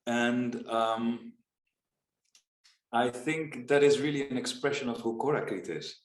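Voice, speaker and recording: A man on a video call who talks about his foundation's work in an unhurried, reflective way, with frequent pauses and ums. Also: mid-range voice, reverberant. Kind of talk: casual video-call conversation.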